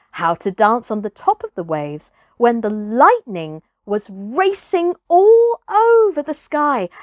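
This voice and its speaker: Animated, unhurried woman storyteller. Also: fairly high pitch, no echo, studio quality. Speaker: storytime host and reader. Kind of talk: expressive children's storybook narration.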